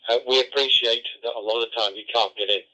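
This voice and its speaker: A man speaking over two-way radio as an amateur operator, courteous, measured and diplomatic. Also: medium pitch, steady tone, pushed and bright. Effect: two-way radio transmission. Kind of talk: polite on-air radio exchange. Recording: muffled lo-fi digital two-way radio.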